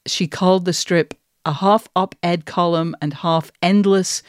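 A woman's voice: fairly low pitch, steady tone, unhurried, no room sound, studio quality. A middle-aged or older woman co-hosting a podcast in an articulate, enthusiastic, conversational way. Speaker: podcast co-host.

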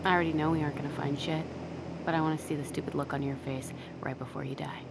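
An actress playing a tough, foul-mouthed medic in an audio drama, blunt and commanding. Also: female voice, low voice, little pitch movement, fast, vocal fry, noisy background. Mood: grimly determined. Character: tough, foul-mouthed female medic keeping a friend awake.

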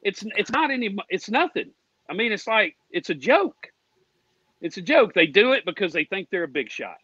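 A man's voice: high voice, very animated, normal speed, pushed and bright, slightly rough, dry recording, dull audio. An American man, a guest on a racing fan show, ranting in a combative, indignant, folksy way with plenty of swearing. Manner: folksy, with "ain't" and "y'all". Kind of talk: heated ranting.

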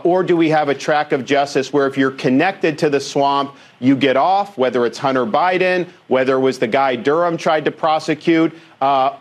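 A man making a combative political statement in a played clip, assertive and firm. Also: medium pitch.

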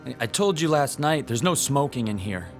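A man's voice: mid-range voice, very animated, normal speed, over music. An actor playing a timid comic book shop owner in a radio drama, a nervous man with an earnest manner, trying to sound defiant. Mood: nervous defiance. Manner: earnest, hesitant.

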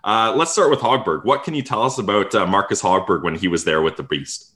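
A man hosts a casual podcast, talking easily and chatting like a fan. His voice sits on the low side. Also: brisk, a bit bright. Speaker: podcast host. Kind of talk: casual podcast interview and read ad.

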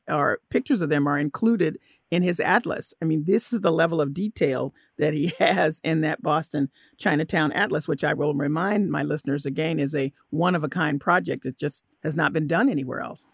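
Woman radio host, low creaky voice, interview style, dry studio sound. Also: fairly quick, no room sound.

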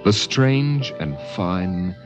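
A man narrating an eerie science fiction radio drama in a grave, measured, poetic style, on an old recording. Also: deep voice, lively intonation, music in the background, exaggerated voice. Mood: grave, suspenseful. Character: solemn male storyteller narrating eerie Martian tragedy.

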